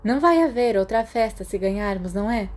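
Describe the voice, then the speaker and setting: A woman's voice: medium pitch, lively intonation, fairly quick, darkish tone, natural voice. A woman with a Brazilian accent voicing a sensible, sceptical teenage schoolgirl in a storybook reading.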